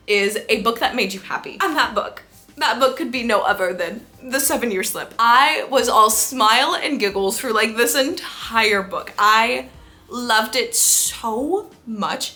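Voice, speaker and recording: Bright-voiced, gushing young woman book vlogger. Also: a little high. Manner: gushing, effusive, emphatic repetition. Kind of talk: casual enthusiastic chat to camera.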